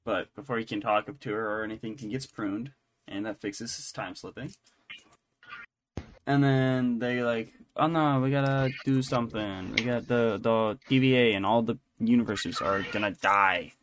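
A man co-hosting a podcast in casual, unscripted recap chat, halting and often restarting his sentences. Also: fairly low pitch, a bit bright, slight background noise. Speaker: podcast co-host.

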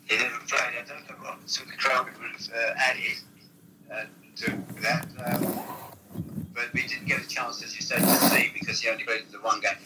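A man's voice: mid-range voice, steady tone, normal speed, heavy background noise. An older man, a former football manager, telling rambling stories from his past in an interview. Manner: rambling anecdotal storytelling. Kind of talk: reminiscing interview.

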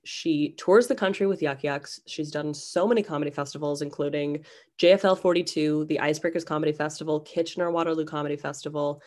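Low-voiced woman, nasal from a cold. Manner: chatty, self-deprecating, rambling. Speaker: podcast host. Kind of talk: casual solo podcast intro.

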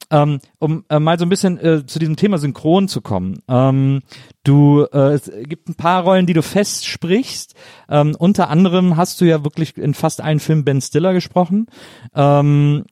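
A man hosting a casual conversational interview, feeling his way through questions with halting, roundabout phrasing. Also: on the high side, mellow, no room sound, clean studio sound. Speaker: interviewer, host.

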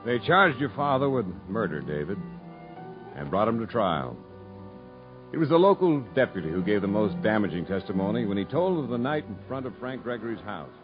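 A male storyteller in a vintage radio drama. His low voice is highly modulated, over a music bed. The recording is muffled. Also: sing-song, brisk. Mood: grave, reflective. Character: male narrator recounting a family's murder trial to David. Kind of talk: 1950s radio drama narration.

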